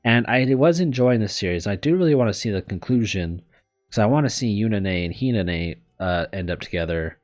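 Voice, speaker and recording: Low-voiced hesitant man rambling over music. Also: lively intonation, brisk, a little mellow. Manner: hesitant, trailing off, sighing. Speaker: let's player VTuber host. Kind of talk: casual rambling vlog commentary.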